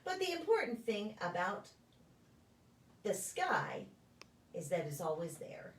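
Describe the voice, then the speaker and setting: A woman reading a children's picture book aloud in a deliberate, sing-song storytelling way for preschoolers. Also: medium pitch, huge pitch swings, slight background noise. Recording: echoey room, distant microphone.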